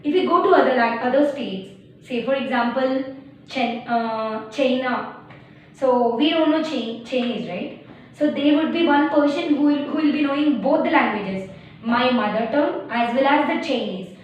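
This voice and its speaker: A woman's voice: high-pitched, moderate pace. A young woman with a Telugu-influenced Indian English accent, teaching beginner programming with everyday analogies, many fillers and restarts. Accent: Indian English, Telugu-speaking. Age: young adult. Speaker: tutor. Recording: distant, very echoey room, dull lo-fi sound, faint hiss.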